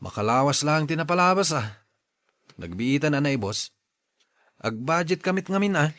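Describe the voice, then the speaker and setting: Proud, forceful Ilocano husband. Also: male voice, on the high side, very animated, normal speed, no room sound, studio quality. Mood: brisk, grumbling. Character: proud, stern husband and father, short-tempered about money. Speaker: radio drama actor. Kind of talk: Ilocano radio drama acting.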